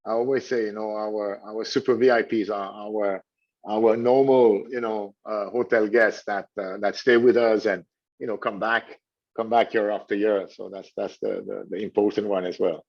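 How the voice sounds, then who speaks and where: Man, halting and filler-heavy. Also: on the low side, fairly even intonation, gravelly. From Switzerland. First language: French. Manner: halting, many "you know" fillers and repeated words. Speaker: hotel general manager. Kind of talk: casual interview answers. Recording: dull lo-fi audio.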